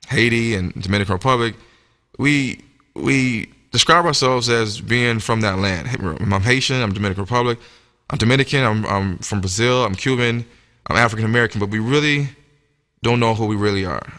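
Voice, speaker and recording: Low-voiced man lecturing in a reverberant hall. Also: bright, forceful tone. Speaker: religious lecturer. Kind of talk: sermon-like lecture with scripture reading. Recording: echoey hall with long reverb.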